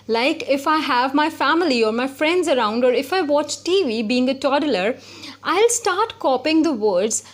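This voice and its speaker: A woman giving a spoken lesson, explaining step by step and repeating her points. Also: high voice. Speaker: English teacher.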